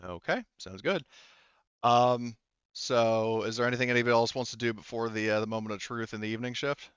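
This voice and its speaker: A man narrating a tabletop roleplaying game casually as game master, in a halting, conversational way with repeated words. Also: medium pitch, a bit bright, clean tone, no room sound, dull audio.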